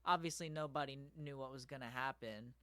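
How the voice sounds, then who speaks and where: A man's voice: mid-range voice, fairly quick, sharp tone, smooth, no room sound. A young man hosting an interview, asking questions and chatting loosely with frequent "like" and "I mean". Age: young adult. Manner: chatty, uses "like" often. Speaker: interviewer, host. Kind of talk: casual interview conversation.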